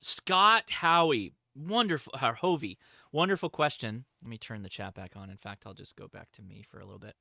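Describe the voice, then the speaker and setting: A man's voice: medium pitch, lively intonation, brisk, creaky, pushed and bright, no room sound, studio quality. A man hosting a livestream, answering chat questions in a casual, informal, enthusiastic hobbyist way.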